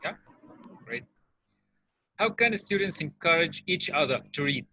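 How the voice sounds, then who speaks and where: A man's voice: a little high, animated, a little slow, sharp tone. A man acting as interviewer, putting short questions. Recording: roomy, dull lo-fi sound.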